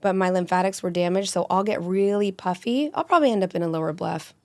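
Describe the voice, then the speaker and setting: A woman in her forties, a med spa injector, telling stories animatedly and quickly in a casual podcast chat. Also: lowish voice, no room sound, studio quality. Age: 40s. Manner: animated, rapid storytelling.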